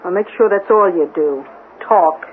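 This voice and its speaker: An actress playing a feisty, defensive middle-aged mother with a sharp-tongued, suspicious manner in a 1970s radio drama. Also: fairly low pitch, normal speed, natural voice. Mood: suspicious, defiant. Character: feisty, defensive mother who cleans offices at night. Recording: tinny, noisy old radio broadcast.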